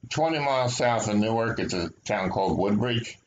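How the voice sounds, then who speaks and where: An older man from New Jersey, a podcast guest, talking in a low-key and modest way. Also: animated, normal speed, over a noisy crowd, poor audio. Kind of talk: casual conversational chat.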